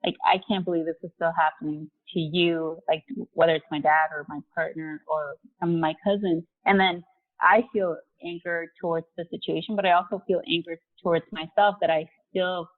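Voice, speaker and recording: A woman in her forties, raised in Mexico, speaks candidly about her life in a relaxed interview, with easy fillers along the way. Her voice sits a little low. It comes through a narrow, phone-like video-call line. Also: brisk. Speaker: guest, company vice president.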